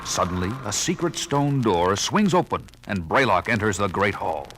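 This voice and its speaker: A man's voice: fairly low pitch, lively intonation, normal speed, background noise, close to an everyday voice. A man narrating a 1970s children's adventure record in a theatrical, urgent storytelling style.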